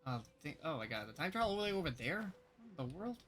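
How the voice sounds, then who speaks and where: A game streamer giving casual live commentary in an expressive, chatty way. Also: medium pitch, fast talker. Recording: game music behind the voice. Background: video game music.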